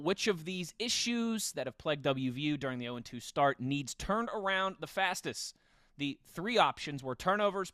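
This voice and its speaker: Guy hosting a sports pod, bright clear voice, kinda high, chatting casually. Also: no echo, clean studio sound. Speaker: podcast host. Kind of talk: casual sports podcast talk.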